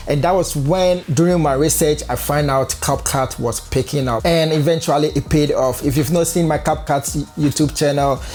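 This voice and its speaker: A man's voice: fairly high pitch, a little mellow. A young man from Ghana with a Ghanaian accent, earnestly giving advice to camera in a casual vlog. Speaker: content creator. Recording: faint background music.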